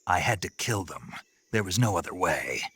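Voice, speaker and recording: Casting: gruff game special-ops soldier, low husky voice, terse and stoic, very dry close sound. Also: male voice, expressive, moderate pace, a bit bright, dry recording, full character voice. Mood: terse, controlled. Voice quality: gravelly, husky. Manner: terse, low-key military reporting. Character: gruff, stoic male special forces operative in a spy game. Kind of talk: dramatic video game voice acting.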